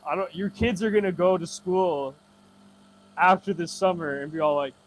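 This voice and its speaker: A man's voice: high-pitched, moderate pace, a little hiss or hum, rough recording. A man in his twenties chatting casually on a livestream, reading viewer comments aloud and answering them with laughs, stumbles and repeated words. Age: 20s. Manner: reads viewer comments aloud and rambles back. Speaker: streamer.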